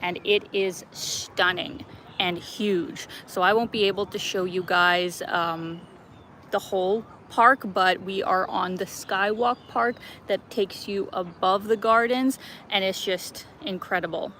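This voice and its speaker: A woman's voice: medium pitch, steady tone, unhurried, sharp tone, slight background noise. A young woman hosting a travel live stream outdoors in a city park, chatty and laughing often as she greets viewers by name.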